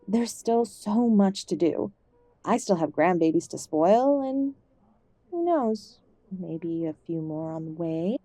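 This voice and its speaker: Give the part to an affectionate, ailing older mother played by a woman in an audio drama. Her voice is soft-edged and mellow, recorded close and dry. She fusses gently, old-fashioned and stubbornly hopeful. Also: on the low side, lively intonation, slow, no echo. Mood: upbeat, hopeful. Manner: gentle, fussing, uses endearments.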